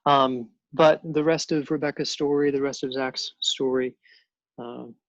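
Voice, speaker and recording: Guest, male, 50s; gravelly, halting storyteller, dull dry audio. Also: mid-range voice, fairly even intonation, no room sound. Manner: halting, many ums and restarts. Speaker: guest telling his adoption story. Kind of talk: personal storytelling on a panel show.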